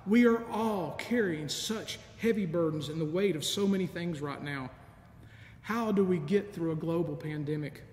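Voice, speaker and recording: A middle-aged man preaching a sermon as a deacon, measured and earnest, with soft laughs. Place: large empty church sanctuary. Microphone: stand mic in front of lectern, camera at a distance. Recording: echoey church with long reverb, faint rumble.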